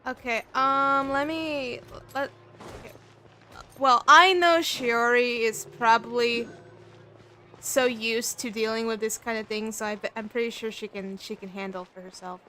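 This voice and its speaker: A woman's voice: a little high, steady tone, unhurried, pushed and bright, a little hiss or hum. A young adult woman chatting casually as a livestreamer playing a game.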